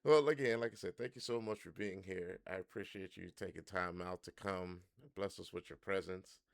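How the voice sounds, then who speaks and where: A man's voice: deep voice, steady tone, normal speed, gravelly, dry recording, studio quality. A man hosting a podcast, chatting casually and playfully with his guest, often laughing. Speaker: podcast host. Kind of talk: casual interview chat.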